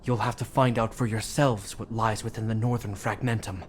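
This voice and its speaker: A man's voice: lowish voice, fairly even intonation, normal speed, a little hiss or hum, natural voice. A man voice-acting a dutiful, formal captain of the guard in a video game. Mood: grave, formal. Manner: formal, commanding.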